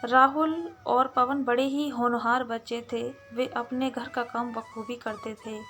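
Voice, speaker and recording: Female storyteller, higher-pitched, very unhurried scripted narration over background music. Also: steady tone, a bit bright. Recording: music behind the voice.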